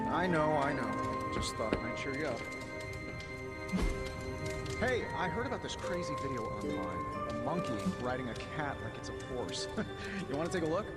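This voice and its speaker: A man's voice: mid-range voice, very animated, moderate pace, long pauses, natural voice. A man playing a cocky, defensive genius hero in a video game, sarcastic and flippant. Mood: coaxing. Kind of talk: video game cutscene acting. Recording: long reverb, music behind.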